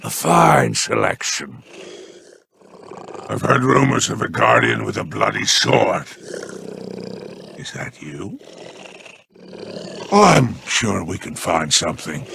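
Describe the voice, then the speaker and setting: An actor playing a sly, scheming male merchant in a video game, talking in a gravelly, silky, menacing salesman's drawl. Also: fairly low pitch, sharp tone, a little hiss or hum, heavily acted. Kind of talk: video game character lines.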